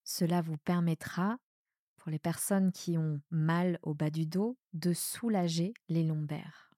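Slow, low-pitched woman guiding meditation. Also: no echo, clean studio sound. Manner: calm, soothing, measured phrasing with pauses. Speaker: yoga nidra guide. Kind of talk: guided meditation, relaxation instruction.

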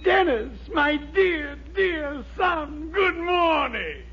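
A man playing a wily, flattering storekeeper uncle with gushing, drawn-out delivery in a 1940s radio sitcom. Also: very high-pitched, full character voice. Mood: gushing flattery. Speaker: comic actor. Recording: muffled, noisy 1940s radio recording.

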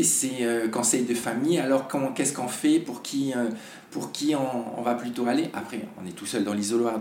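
A hoarse man, a podcast guest, talking in a halting way with many restarts. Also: mid-range voice, a little mellow, echoey room, slight background noise. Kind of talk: casual conversation.

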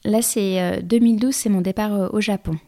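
A woman's voice: mid-range voice, fairly even intonation, a little fast, dark tone. A woman in her thirties, a guest in an interview, telling her story in a hesitant way with many fillers and restarts. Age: 30s. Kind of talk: conversational interview.

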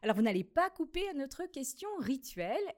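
Woman host reading a smooth introduction. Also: sits high, sing-song, fairly quick, darkish tone. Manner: smooth scripted opening, hesitant when ad-libbing. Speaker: radio host. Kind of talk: read introduction, then interview questions.

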